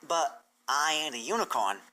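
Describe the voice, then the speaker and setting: Young country filly played by a woman: blunt, wary, folksy, with a bright, lively storybook voice. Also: sits low, normal speed. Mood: doubtful. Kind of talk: fan fiction character reading.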